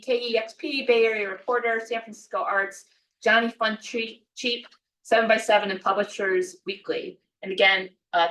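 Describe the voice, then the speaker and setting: A woman's voice: mid-range voice, fairly bright tone. Woman making announcements in an echoey hall. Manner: reads off a list, with frequent ums and uhs. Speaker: event organizer and development staff. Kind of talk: event introduction and announcements. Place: event room at a book club. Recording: echoey room from far off.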